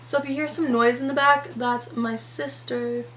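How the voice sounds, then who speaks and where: A teenage girl with a slightly raised pitch, a little hoarse from a cold, talking through her makeup in a loose, rambling way, full of "um" and "like". A faint hum sits under her voice. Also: fairly even intonation, normal speed, fairly bright tone. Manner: rambling, lots of "um" and "like". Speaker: beauty vlogger. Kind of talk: chatty get-ready-with-me vlog.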